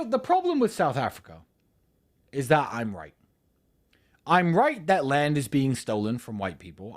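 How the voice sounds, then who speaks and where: A man hosting a live stream, ranting to his audience in an angry, profane and emphatic way, swinging between shouting and muttering. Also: fairly low pitch. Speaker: livestream host.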